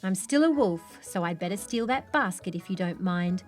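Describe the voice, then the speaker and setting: A woman's voice: on the low side, animated, moderate pace, darkish tone, natural voice. A woman voicing a greedy, bad-mannered male wolf from a children's storybook, pushy and cheeky, trying to sound scary, with music behind. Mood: greedy demanding.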